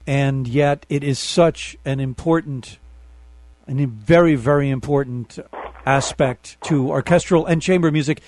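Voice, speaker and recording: A man's voice: a little high, no room sound. A man hosting a community radio interview, a conductor who talks in long reflective asides. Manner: rambling, reflective, warm asides.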